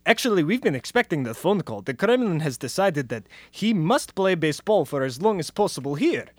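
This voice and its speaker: A man's voice: fairly high pitch, sing-song, a little fast, no room sound. A man playing a pompous Soviet office manager, self-important and unctuous, in a comic radio play. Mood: fawning, grand. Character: pompous male Soviet office manager, menacing turned fawning.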